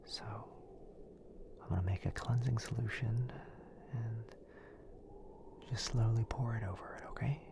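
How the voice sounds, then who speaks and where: A man playing a gentle, caring wizard healer in an intimate ASMR roleplay, murmuring soothingly with hesitant pauses. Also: mid-range voice, fairly even intonation, vocal fry, noisy background. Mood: careful, focused.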